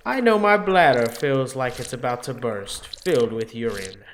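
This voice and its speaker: A man's voice: mid-range voice, animated, normal speed, close to an everyday voice. Man acting out a brooding amnesiac young woman, noisy recording. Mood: brooding, pained. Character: amnesiac young woman, anguished, macabre, chatty, easily bewildered. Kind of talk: dramatic first-person visual novel narration.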